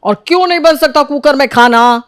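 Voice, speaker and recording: A woman voicing a bossy, sarcastic young husband in an animated story, scolding his wife. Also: high-pitched, moderate pace, no room sound, clean studio sound, natural voice.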